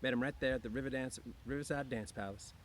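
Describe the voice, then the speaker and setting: A man's voice: medium pitch, fairly even intonation, fast talker, slight background noise, voice pushed up, close to an everyday voice. A man voicing a young, dreamy, discontented small-town wife with rambling, slangy speech in an audiobook. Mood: boastful, wistful. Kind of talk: audiobook character voice.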